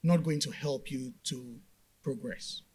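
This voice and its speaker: A man's voice: mid-range voice, unhurried, a little mellow, slightly rough. A man from Nigeria speaking on a conference panel, direct in tone, questioning premises before building to emphatic points. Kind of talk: motivational panel talk. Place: conference stage.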